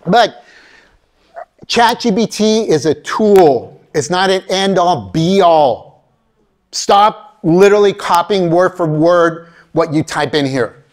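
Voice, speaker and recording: A man's voice: high-pitched, slow. A middle-aged man giving a motivational career keynote in a college lecture hall, talking straight to the audience as "folks," recorded from a camera out in the audience. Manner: animated, addresses audience as folks, acts out quoted voices. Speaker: keynote speaker. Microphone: far camera in audience.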